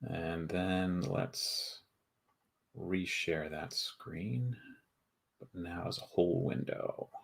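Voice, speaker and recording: Man, low-pitched, casual stream host, halting explanatory delivery, thinking aloud. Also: gravelly, husky. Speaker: host, board game video maker. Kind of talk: casual livestream presentation.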